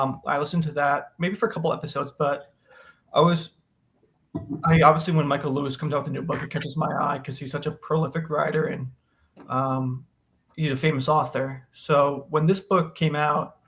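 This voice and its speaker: A man's voice: fairly high pitch, little pitch movement, brisk, a bit bright. An American man co-hosting a casual book discussion in an opinionated, emphatic way. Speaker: podcast co-host.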